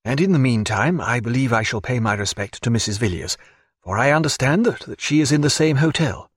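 A man voicing a reserved, discreet doctor in an audiobook, quietly civil, measured and guarded. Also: expressive, breathy edge, no echo, studio quality. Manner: low-key, measured, guarded. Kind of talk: audiobook character voice.

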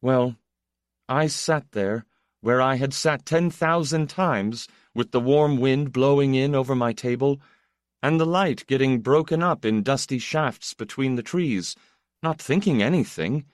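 A man's voice: medium pitch, a little slow, no room sound, clean studio sound, voice pushed up, natural voice. A man voicing an intense, melancholy younger brother, a proud poet who speaks in a restless, confessional, emphatic way.

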